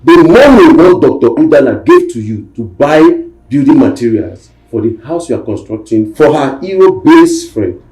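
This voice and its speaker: A man's voice: medium pitch, sing-song, normal speed, warm tone, reverberant, lightly acted, low-quality recording. A man playing a menacing robber boss. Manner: threatening, barking orders. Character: menacing male armed robber gang leader.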